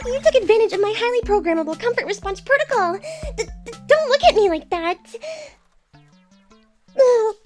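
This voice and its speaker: A woman's voice: very high voice, animated, normal speed, long pauses, faint music behind. A woman playing a playful, guarded female AI who is secretly tender, in an intimate ASMR roleplay with teasing and denials. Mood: flustered, defensive. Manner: tsundere, teasing, flustered denials over soft confessions.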